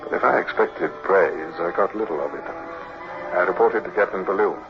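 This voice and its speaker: An actor playing a proud young British naval officer who recounts his adventures in a measured, literary 1950s radio drama style. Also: deep voice. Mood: proud, wry. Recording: muffled old radio recording, music behind.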